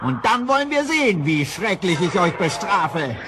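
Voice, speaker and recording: An actor playing an old evil sorcerer in a children's radio play, blustering and vengeful, with theatrical exclamations and gloating. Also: male voice, high voice, very animated. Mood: menacing. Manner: theatrical, exclaiming, drawn-out cackling. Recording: noisy vintage children's record.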